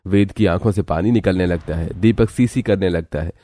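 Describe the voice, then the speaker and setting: A man's voice: sits low, normal speed, warm tone, no echo. A man narrating a scripted cartoon story as its storyteller.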